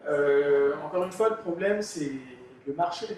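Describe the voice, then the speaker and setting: A middle-aged man from the Republic of the Congo, an author answering interview questions in a measured, hesitant way with many fillers. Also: fairly high pitch, darkish tone. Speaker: author and illustrator guest. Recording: echoey room, distant mic, faint noise.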